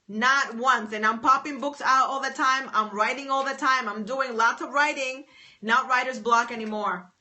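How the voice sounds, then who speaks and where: Woman, writing coach, live-stream host, bright higher voice, bubbly and repetitive. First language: English. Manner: enthusiastic, repetitive, reads out viewer names. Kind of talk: live stream chatting with viewers.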